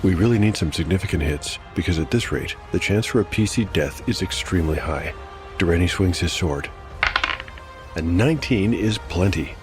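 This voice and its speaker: Man, very low pitch, tabletop game narrator, dramatic storytelling, wry dice commentary, clear music bed behind. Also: moderate pace. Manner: wry asides and mild exclamations between narration. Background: background music.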